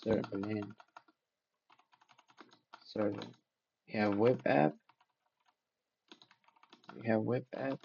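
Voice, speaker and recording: A man's voice: lowish voice, fairly even intonation, extremely slow, creaky, long pauses, darkish tone, highs cut off, slight background noise. Hesitant man, English not his first language. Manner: hesitant, restarts, searching for words. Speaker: learner sharing notes. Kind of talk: casual study vlog, thinking aloud.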